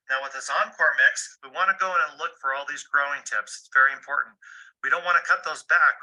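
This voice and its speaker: A man's voice: medium pitch, bright, forceful tone, poor audio. A man enthusiastically demonstrating a product in an upbeat video.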